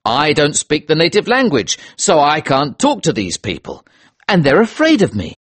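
A man's voice: fairly high pitch, huge pitch swings, slow pace, slightly rough, no echo, pitched above the performer's own voice, exaggerated voice. A man voicing an indignant, impatient British male trader in an audio story, speaking emphatically. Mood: angry.

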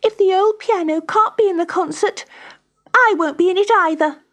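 Woman as an earnest, high-pitched little girl. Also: normal speed, a bit bright. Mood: determined. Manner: pleading, timid. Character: earnest, nervous, loyal little girl piano pupil.

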